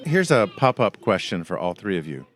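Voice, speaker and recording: Low-voiced American man hosting a podcast. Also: very animated, normal speed, faint music behind. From United States. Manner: curious, conversational questioning. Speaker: podcast host. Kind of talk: casual interview chat.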